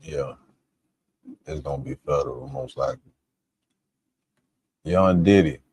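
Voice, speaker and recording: A man with a rumbling, very low voice and a husky edge talks through his reactions on a live stream. He is loose and profane, thinking out loud between clips. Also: unhurried. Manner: laid-back, profane, musing aloud. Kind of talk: casual reaction stream commentary.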